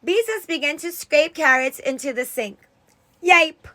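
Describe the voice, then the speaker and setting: High voiced lady teacher doing an expressive storybook read-aloud, dramatic emphasis. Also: moderate pace. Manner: dramatic emphasis on exclamations and character lines.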